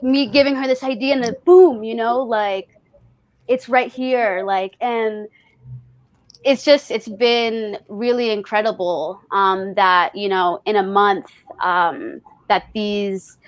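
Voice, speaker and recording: Woman, fairly high voice, dry close sound; reflective, expressive guest sharing personal stories. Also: no echo. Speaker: festival artist being interviewed. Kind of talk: casual personal storytelling.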